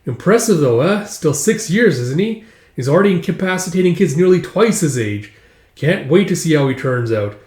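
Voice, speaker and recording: A man's voice: a little high, very animated, normal speed, a little mellow, pitched above the performer's own voice. A man voicing hearty, boastful Viking villagers who are proud of battle, in a jovial, bragging manner. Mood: proud amusement. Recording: echoey room.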